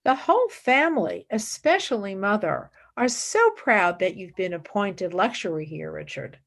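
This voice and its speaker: A woman acting in a radio play as an idealistic, exacting young philosophy student, earnest and expressive. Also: medium pitch, moderate pace, creaky, heavily acted. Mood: gently proud.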